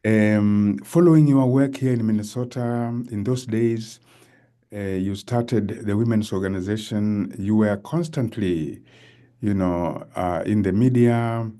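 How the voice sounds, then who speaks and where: A man's voice: on the low side, unhurried, warm tone. A man hosting an interview show, chatting with his guest in an enthusiastic way. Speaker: host. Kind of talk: interview chat.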